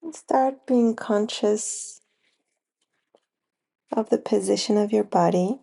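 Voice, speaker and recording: A woman leads a guided relaxation as a yoga nidra teacher. Her voice is mellow and soft-edged, with a clear creak of vocal fry. She speaks very slowly, in short phrases separated by long pauses. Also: medium pitch. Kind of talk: slow guided relaxation meditation.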